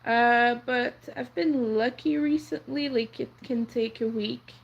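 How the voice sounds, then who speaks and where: Slow, gravelly-voiced woman chatting while crocheting. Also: a little high, lo-fi sound. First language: French. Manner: soft-spoken, rambling, counts under her breath. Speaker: crochet livestream host. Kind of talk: casual chat while crocheting.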